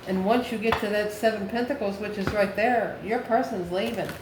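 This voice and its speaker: An echoey room with noticeable background noise surrounds a woman of ordinary pitch. She reads tarot as a channel host, speaking directly to the viewer in a folksy, familiar way and repeating phrases for emphasis. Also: steady tone, moderate pace. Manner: folksy, familiar, addresses listener as honey and baby. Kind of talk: tarot reading addressed to viewers.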